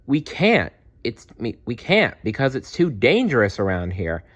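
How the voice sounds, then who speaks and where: A man's voice: mid-range voice, wildly swooping pitch, moderate pace, a bit bright. A man voicing a cautious but loyal little girl dinosaur in a storybook, childlike, chatty and earnest. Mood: worried.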